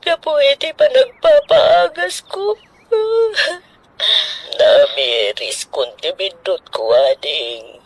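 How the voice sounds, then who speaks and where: A woman's voice: fairly low pitch, wildly swooping pitch, takes it slowly, no room sound, exaggerated voice, poor audio. A woman with an Ilocano accent acting an anguished, bitter sick character who pleads tearfully in a radio drama.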